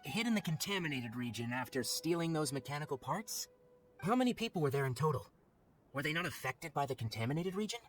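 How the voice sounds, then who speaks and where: Guy voicing young ranger type in a video game, higher pitched, brisk and no nonsense, faint music under. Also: expressive, close to an everyday voice. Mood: questioning. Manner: matter-of-fact, curt. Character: brisk, businesslike young male forest watcher. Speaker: voice actor in game dialogue. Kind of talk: scripted video game voice acting. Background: game background music.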